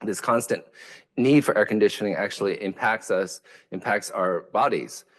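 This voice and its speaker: A man's voice: lowish voice, normal speed. A man whose first language is Vietnamese, an architect giving a lecture in a hesitant way, with many fillers and restarts. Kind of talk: academic lecture.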